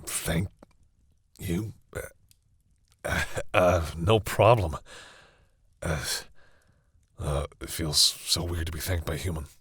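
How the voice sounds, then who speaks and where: A man playing a centuries-old vampire in an intimate audio roleplay, speaking formally but haltingly in a husky, breathy voice. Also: low-pitched, a bit bright, no echo, full character voice. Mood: awkward, surprised. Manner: hesitant, halting, formal phrasing. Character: centuries-old male vampire, courteous, hesitant, commanding with servants.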